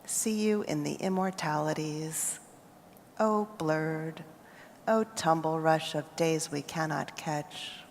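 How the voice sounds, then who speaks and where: An American woman poet reading her poems aloud at a festival, with measured, deliberate phrasing and pauses between lines. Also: deep voice, fairly even intonation, slight background noise. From United States. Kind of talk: poetry reading. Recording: reverberant hall.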